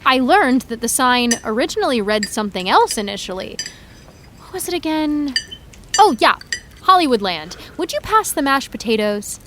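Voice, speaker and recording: A woman's voice: high voice, very animated, fairly bright tone, a little hiss or hum. An actress playing a young woman about to start college, evasive and sarcastic when her parents press her. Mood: awkward. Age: young adult. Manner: hesitant, deflecting, quick comebacks. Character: evasive teenage girl, awkward and sarcastic. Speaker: actor playing a college-bound daughter. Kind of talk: audio drama acting.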